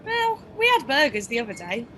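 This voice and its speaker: Woman acting fairly high-voiced, nosy kitchen worker. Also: wildly swooping pitch, a little fast, sharp tone, a little hiss or hum, exaggerated voice. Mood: dry teasing. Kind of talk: audio drama dialogue.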